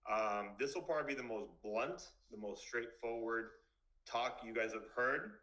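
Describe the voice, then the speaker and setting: A man's voice: medium pitch, normal speed, bright, forceful tone, poor audio. A man in his twenties from Miami, a dentist giving a blunt, casual talk to students over a video call. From Miami, Florida. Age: 20s. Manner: blunt, straightforward, casual with fillers. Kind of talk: online presentation to students.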